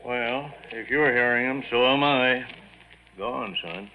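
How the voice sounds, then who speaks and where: An actor playing a puzzled, mild-mannered army doctor, hesitant and musing, in 1950s radio drama style. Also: male voice, mid-range voice, measured pace, natural voice. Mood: bemused. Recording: tinny, noisy 1950s radio recording.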